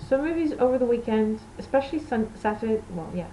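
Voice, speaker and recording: A woman's voice: a little high, expressive, fast, warm tone, slight background noise, poor audio. A woman from Portugal, a native Portuguese speaker, hosting a knitting podcast in rambling, self-correcting casual chat.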